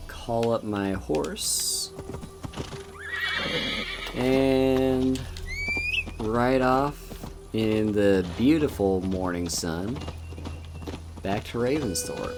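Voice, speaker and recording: A male streamer with a lowish, unhurried voice, talking softly and musing over the game as he plays. His delivery stays easy and soothing, with game music playing clearly underneath. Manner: slow, relaxed, soft-spoken, musing. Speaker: VTuber streamer. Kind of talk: casual gameplay commentary.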